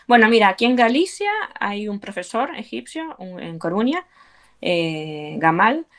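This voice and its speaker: An Argentine woman, a belly dance teacher, talking earnestly and encouragingly in an interview, with frequent fillers. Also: on the low side. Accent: Argentine Spanish. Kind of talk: conversational interview.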